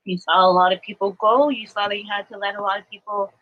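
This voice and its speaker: A woman's voice: mid-range voice, fairly even intonation, fast talker, no room sound, narrow, tinny audio. A woman speaking as a spiritual teacher, explaining earnestly and reflectively in new-age language during a live coaching talk. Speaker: spiritual teacher, astrology adviser.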